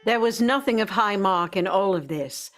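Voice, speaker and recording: Woman narrator for a radio play, mid-pitched, measured storybook delivery. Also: fairly bright tone, soft background music.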